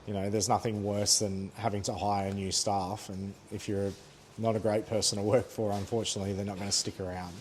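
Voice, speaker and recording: An Australian man in his thirties, a head chef chatting easily in an interview, pausing with fillers and laughing now and then. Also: fairly low pitch, little pitch movement, a little mellow, slight background noise. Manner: easygoing, laughs often, thoughtful pauses with fillers. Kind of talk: relaxed conversational interview.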